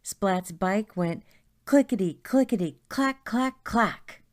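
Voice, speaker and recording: A woman reading a children's story aloud in a deliberate, expressive way. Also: medium pitch. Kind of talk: read-aloud story narration.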